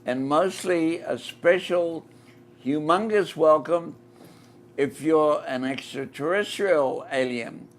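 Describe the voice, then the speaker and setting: New Zealand man as a live-stream host, unhurried and gravelly, faint room hum behind. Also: a little high. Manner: slow, deliberate, repeats the shop address. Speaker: podcast host. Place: inside a flying saucer-themed shop.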